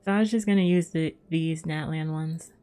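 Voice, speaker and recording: A woman's voice: low-pitched, moderate pace, mellow, clear, resonant tone. A woman livestreaming a game, chatting casually and musing aloud in a rambling way. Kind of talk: casual chat while gaming. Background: game music.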